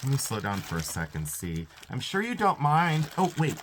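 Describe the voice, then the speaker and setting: A congested, stuffed-up man talks through his haul in a chatty, rambling way, often cutting himself off and laughing. His voice swings widely up and down in pitch, sitting around a middle register. He sounds like a casual reseller showing his finds. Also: huge pitch swings, moderate pace, a bit bright, a little hiss or hum. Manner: chatty, rambling, self-interrupting, frequent laughs.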